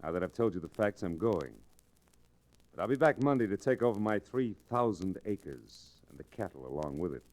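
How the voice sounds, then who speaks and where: An actor playing a smug, menacing land-grabber in a radio western, coolly taunting and mock-polite. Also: male voice, fairly low pitch, animated, normal speed, darkish tone, natural voice. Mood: smug, threatening. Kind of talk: old-time radio western acting.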